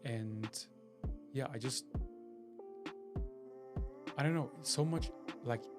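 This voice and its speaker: A man's voice: mid-range voice, slow, music in the background. A man from Switzerland, hosting a podcast and chatting in a rambling way with lots of fillers and restarts. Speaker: podcast host. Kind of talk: casual solo podcast intro.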